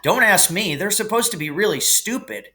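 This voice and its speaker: A hot-tempered schoolboy from a storybook, done by a man reading aloud. He is blunt and snappish, with a forceful edge to the voice. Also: high voice, lively intonation, moderate pace, pitched above the performer's own voice. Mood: grumpy.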